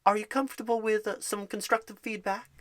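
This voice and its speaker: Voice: mid-range voice, steady tone, a little fast, a bit bright. Reassuring ASMR job recruiter, pet names. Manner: reassuring, wordy, uses pet names like darling and honey. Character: happy, eager, encouraging job recruiter and career coach. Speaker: ASMR performer playing a job recruiter and career coach. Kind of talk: ASMR roleplay, one side of a phone screening.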